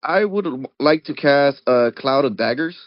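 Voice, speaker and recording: A man's voice: medium pitch, expressive, unhurried, no echo, highs cut off. A man taking part in a tabletop game as a player, talking casually about his moves.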